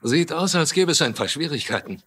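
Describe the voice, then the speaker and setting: An actor playing a brusque, guarded insurance investigator in a German-dubbed TV mystery, terse and businesslike. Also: male voice, fairly high pitch, lively intonation, fairly quick, fairly bright tone. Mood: guarded, matter-of-fact.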